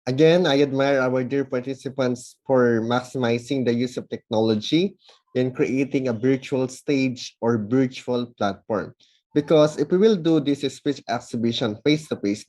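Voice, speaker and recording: A man giving formal, measured feedback as a judge, working through numbered points. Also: medium pitch, darkish tone. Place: online event recording.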